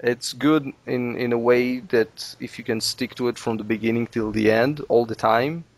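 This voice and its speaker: A man's voice: medium pitch, steady tone, moderate pace. A man whose first language is Russian, a Let's Play video maker giving earnest advice in a casual podcast interview. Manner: earnest, advice-giving, peppered with "you know".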